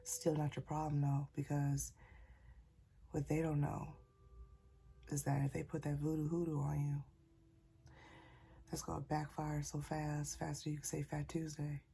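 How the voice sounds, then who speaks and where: A woman sharing a casual spiritual message, talking softly because she is a little sick, in a husky, near-whispered voice and a folksy manner. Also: low-pitched, monotone, measured pace, long pauses. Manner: folksy, calls listeners y'all and child. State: slightly sick, keeping voice soft. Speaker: spiritual channeler.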